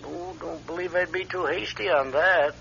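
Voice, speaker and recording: A man's voice: on the high side, steady tone, normal speed, gravelly, sharp tone. An actor playing a folksy, cagey but courteous local man in a radio drama, talking in clipped phrases. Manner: clipped, folksy, drops sentence subjects. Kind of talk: classic radio drama acting. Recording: dull, band-limited radio sound.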